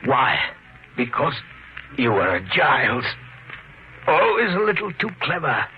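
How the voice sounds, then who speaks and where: Muffled old radio sound with music behind a sadistic homicidal maniac. He speaks with cultured, taunting menace, swinging widely in pitch with theatrical deliberation. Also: sing-song, a little slow. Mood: bitter, desperate. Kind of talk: 1950s radio thriller acting.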